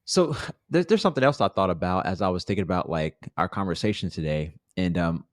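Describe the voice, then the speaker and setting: A man's voice: low voice, expressive, a little fast, no echo. A man hosting a casual conversation in an easygoing way, often agreeing with his guest. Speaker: podcast host.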